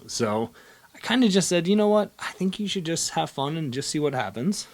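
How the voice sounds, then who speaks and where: A man's voice: a little high, very animated, normal speed. A man hosting a video channel, chatting casually and enthusiastically as he interviews his co-host.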